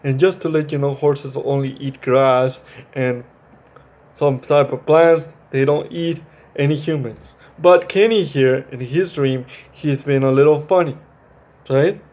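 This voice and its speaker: A man hosting a children's story read-aloud, chatting to young viewers in a halting way and often correcting himself. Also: mid-range voice, steady tone, a little mellow. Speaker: storytime video host reading a children's book.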